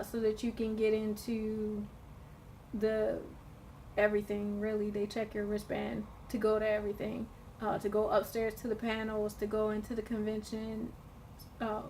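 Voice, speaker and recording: A woman in a casual vlog, her voice slightly hoarse from screaming, chatting in a rambling way with lots of fillers and restarts. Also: fairly high pitch, a little hiss or hum. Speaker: vlogger.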